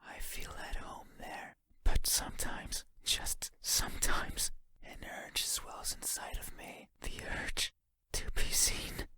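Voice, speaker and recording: A man voicing a lonely, curious shadow creature in a horror game, speaking in a breathy, halting, intimate whisper that is softly insistent. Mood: lonely, yearning. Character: eerie wandering shadow creature, lonely, curious, longing to be seen.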